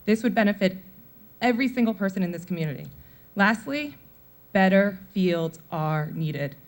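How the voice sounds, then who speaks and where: A woman in her forties, a mother of four, briskly reads a prepared public comment at a town meeting, running through lists of needs. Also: medium pitch, expressive. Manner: brisk, reading from notes with list-like delivery.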